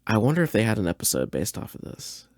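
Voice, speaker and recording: A man's voice: fairly low pitch, wildly swooping pitch, fast talker, no room sound, clean studio sound. A man hosting a podcast, chatting informally and slangily in reaction to what he hears. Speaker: podcast host. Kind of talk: casual reaction chat.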